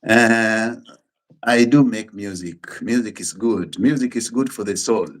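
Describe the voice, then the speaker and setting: A middle-aged Kenyan man whose first language is Luo, talking casually as a guest musician and pastor in simple, unhurried sentences that often restart. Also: lowish voice. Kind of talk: casual interview chat.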